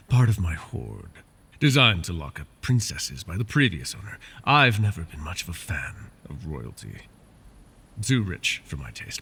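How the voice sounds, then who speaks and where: A man voicing a wounded male dragon who keeps a human captive, soft-spoken and polite, often slipping into whispers, for an ASMR fantasy roleplay. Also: sits low, vocal fry, slightly rough, full character voice. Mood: smug. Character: wounded male dragon, possessive yet doting captor.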